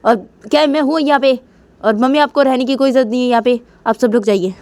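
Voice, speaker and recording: A woman's voice: medium pitch, normal speed, warm tone, lightly acted. A woman voicing a hot-tempered, bossy young mother in a told family story, scolding and repeating her demands. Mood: defiant, irritated.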